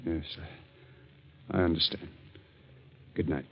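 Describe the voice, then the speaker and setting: A man playing a gentle, absent-minded scientist, stammering and horrified, in 1940s radio drama style. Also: low voice, fairly even intonation, a little slow, gravelly. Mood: reluctant, subdued. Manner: stammering, flustered, exclamatory. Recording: muffled 1940s radio recording.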